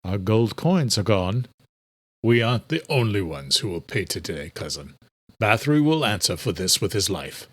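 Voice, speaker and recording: A man's voice: on the low side, sing-song, normal speed, fairly bright tone, husky, exaggerated voice. A man voicing a commanding, vengeful vampire king in an audiobook, grave and authoritative. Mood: grim, vengeful. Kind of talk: dramatic audiobook character voice.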